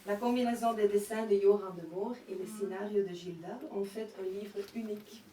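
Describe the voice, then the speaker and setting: A woman's voice: mid-range voice, steady tone, a little fast, dark tone. A woman from Belgium presenting a comic album from a prepared script. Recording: echoey, distant, with faint noise.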